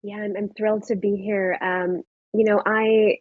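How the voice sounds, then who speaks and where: A woman's voice: mid-range voice, flat delivery, a little slow, dry recording. A woman from Pakistan, a company founder, answering interview questions in an earnest, reflective way. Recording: dry, lo-fi remote audio.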